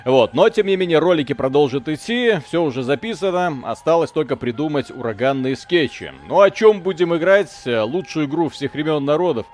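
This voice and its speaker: A man's voice: fairly high pitch, a little mellow. A man hosting a live video game stream, chatting casually in slangy, joking language with mild expletives.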